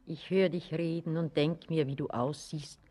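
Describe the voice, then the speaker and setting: A woman's voice: low-pitched, fairly even intonation, normal speed, mellow, slight background noise, lightly acted. An actress playing a pensive, melancholy old grandmother in a German radio play, musing wistfully.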